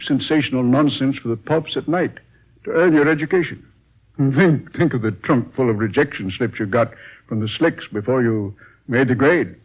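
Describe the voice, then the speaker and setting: An actor playing a blunt, blustering publishing boss in a vintage radio drama, folksy and exasperated, with slangy exclamations. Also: male voice, medium pitch, slightly rough, close to an everyday voice. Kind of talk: 1940s radio drama acting. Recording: dull, muffled vintage radio recording.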